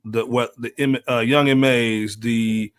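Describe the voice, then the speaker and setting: A man's voice: mid-range voice, fairly even intonation, takes it slowly, clean tone. An American man debating casually on a podcast panel, probing and insistent about his point.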